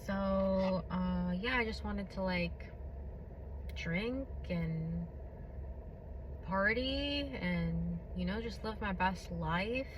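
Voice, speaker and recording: A woman hosting her own podcast who tells a personal testimony in a blunt, candid way, with a booming voice and many fillers. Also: drawn-out words, slight background noise, rough recording. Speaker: podcast host. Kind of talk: personal testimony, casual monologue.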